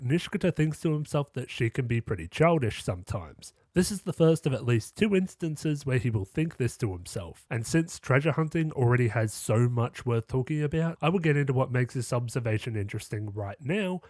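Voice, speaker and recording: A man's voice: medium pitch, a little mellow, dry recording. A man in his twenties with a cold that makes him a little nasal, reading a scripted, reflective analysis of an anime as host of his channel. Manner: measured, reflective explaining. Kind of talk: scripted video-essay narration.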